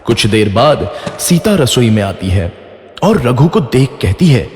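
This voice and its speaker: A man's voice: mid-range voice, big pitch swings, unhurried, darkish tone, slight background noise. A man reading scripted storybook narration for a children's cartoon. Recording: echoey, long reverb, faint music behind. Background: faint music.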